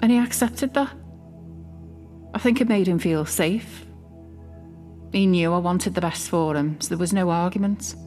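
A woman's voice: on the low side, darkish tone, faint music behind, close to an everyday voice. A woman playing an obsessively doting, self-justifying middle-aged British mother in a confessional audio drama, speaking intimately and defensively. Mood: possessive, justifying. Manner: intimate, defensive, hesitant pauses. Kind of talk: dramatic monologue, confessional fiction podcast.

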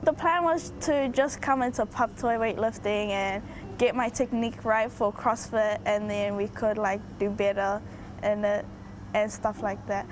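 A woman's voice: mid-range voice, fairly even intonation, moderate pace, slight background noise. A teenage girl with a New Zealand accent chatting casually in an interview as a young athlete. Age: teens.

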